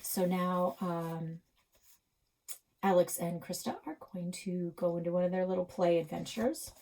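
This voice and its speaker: A woman's voice: on the low side, little pitch movement, unhurried, warm tone. A woman reading a children's novel aloud as a library read-aloud host.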